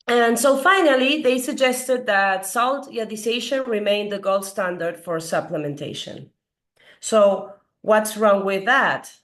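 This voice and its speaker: A middle-aged woman from Peru gives an earnest health lecture as a doctor. Her voice is slightly hoarse from a recent cold, and she asks her audience questions with frequent fillers. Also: mid-range voice, slow. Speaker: doctor giving a health talk. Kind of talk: explanatory lecture.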